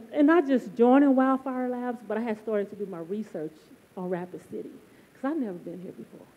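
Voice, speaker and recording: A woman from the United States giving a measured, reflective answer as a startup founder on a panel. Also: mid-range voice, mellow, poor audio. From Tulsa, United States. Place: stage of an auditorium, indoors. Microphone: handheld microphone.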